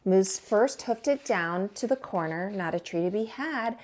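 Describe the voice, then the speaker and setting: Slow-paced woman reading a children's storybook. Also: medium pitch, expressive, a little mellow. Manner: theatrical, drawn-out emphasis on words. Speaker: teacher reading a picture book aloud. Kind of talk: expressive children's storytime read-aloud.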